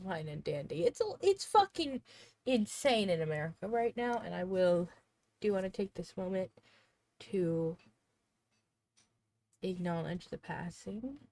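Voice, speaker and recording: A woman's voice: fairly low pitch, dark tone. Congested chatty woman streamer. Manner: rambling, chatty, thinks aloud. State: congested, sneezing. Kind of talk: casual livestream chat.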